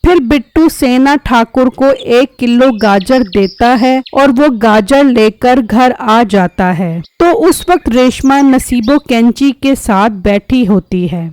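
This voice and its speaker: A woman's voice: a little high, slow, hardly any pauses, darkish tone, smooth. A woman reading scripted narration for a story as its narrator.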